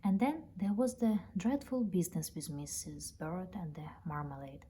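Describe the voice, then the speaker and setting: A woman reading a children's story aloud in an expressive storytelling style. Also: fairly low pitch, moderate pace. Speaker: storybook reader. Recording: noticeable background noise, ambience.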